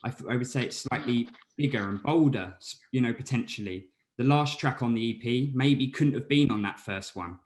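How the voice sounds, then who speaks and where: British male musician, mid pitch, careful considered speech with small restarts. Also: darkish tone. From Britain. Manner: measured, thoughtful, some stumbles. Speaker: band member. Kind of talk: casual interview answers.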